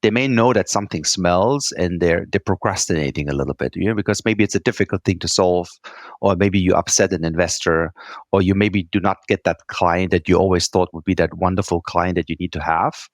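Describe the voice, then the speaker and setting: A man's voice: deep voice, fairly quick, no echo, clean studio sound. A man from Germany with a German accent, answering interview questions earnestly and often checking in with "right?". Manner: earnest, repeats words, frequent "right?" tags. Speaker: guest executive.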